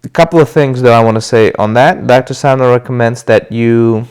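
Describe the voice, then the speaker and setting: A man's voice: mid-range voice, a little fast, clean tone. A man with a stuffy voice from a cold, talking casually to the camera and hosting his own channel about recovery. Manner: relaxed, loose, with many uh fillers and restarts. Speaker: video host sharing his recovery.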